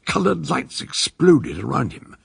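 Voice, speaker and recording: An actor playing a measured male narrator, recounting his adventure suspensefully in a radio play. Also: on the high side, big pitch swings, creaky, slightly rough, no room sound, clean studio sound, heavily acted. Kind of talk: dramatic storytelling in a radio play.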